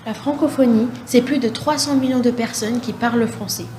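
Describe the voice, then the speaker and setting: Woman radio presenter, slightly high voice, scripted measured reading, noise behind. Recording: steady background noise.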